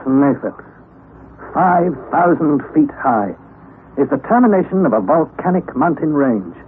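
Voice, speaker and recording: An actor playing an earnest young nephew, narrating an old-time radio drama in a measured, precise, emphatic way. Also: male voice, a little high, big pitch swings. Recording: noisy, tinny vintage radio recording.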